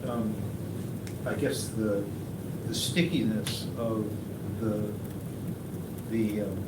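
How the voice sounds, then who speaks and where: A man far from the microphone asks an academic question in an echoey room, under loud noise. He speaks haltingly, feeling his way through each phrase. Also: fairly low pitch, level tone. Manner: hesitant, searching for words. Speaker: audience member asking a question. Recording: very noisy, echoey, far from mic.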